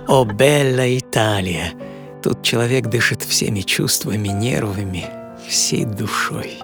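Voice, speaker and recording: A man's voice: fairly low pitch, takes it slowly. A man performing a dramatised first-person story as a dreamy, passionate coffee businessman, savouring his words theatrically. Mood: dreamy wonder. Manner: theatrical, savouring, emphatic delivery. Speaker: author and narrator of a radio programme. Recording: studio voice over music. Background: music.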